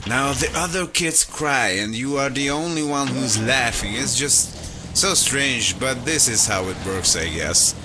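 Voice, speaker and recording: A man's voice: mid-range voice, close to an everyday voice. An actor playing a hot-headed, sardonic male radio host who grumbles through comic monologues in a video game. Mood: grumbling, sardonic. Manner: grumbling, rambling, complaining. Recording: loud music and car noise over voice. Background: loud music, car engine.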